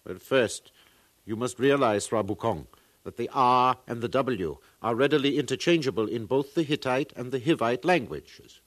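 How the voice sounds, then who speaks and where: A man's voice: mid-range voice, normal speed, no echo, natural voice. A man playing an earnest far-future museum scholar, lecturing formally in a 1950s radio drama.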